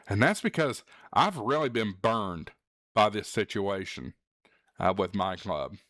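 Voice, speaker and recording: A man's voice: on the low side, expressive, unhurried, a bit bright, dry recording. Earnest man with a dry, close sound. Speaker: YouTube channel host. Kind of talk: candid advice vlog to viewers.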